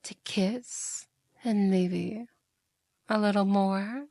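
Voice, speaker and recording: A woman's voice: mid-range voice, steady tone, drawn-out words, dark tone, dry recording. A woman playing a seductive, mocking yandere demon in an ASMR roleplay, coaxing and theatrical, often slipping into whispers.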